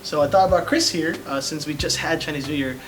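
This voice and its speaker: A man's voice: on the high side, fast talker. Guy hosting a chill chat, lots of uh's, music playing behind. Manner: hesitant, many fillers and restarts. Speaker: host. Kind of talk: casual chat.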